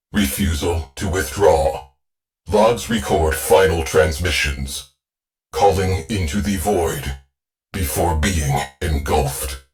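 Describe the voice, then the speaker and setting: A man voicing a terse male ship AI in a video game, speaking in clipped, deadpan military phrases. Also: low-pitched.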